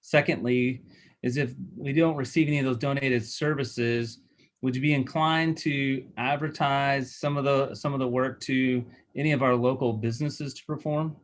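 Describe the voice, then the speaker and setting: A man's voice: mid-range voice, fairly even intonation, normal speed. Man asking formal questions. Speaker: school board member. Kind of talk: questioning at a school board meeting.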